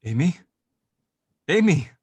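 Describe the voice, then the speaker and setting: A man's voice: fairly high pitch, very animated, slow pace, darkish tone, husky, dry recording, pitched above the performer's own voice, exaggerated voice. Awkward, affectionate dad, acted by a man. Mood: overjoyed, affectionate. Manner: teasing, flustered, hesitant. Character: awkward affectionate long-lost dad of a teenage girl. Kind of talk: audio drama table read.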